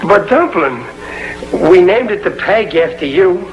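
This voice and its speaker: An actor playing a bumbling, overconfident middle-aged American husband with uneducated working-class grammar, in a 1950s sitcom. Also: male voice, high voice, expressive, unhurried. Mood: placating. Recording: muffled old TV soundtrack with music.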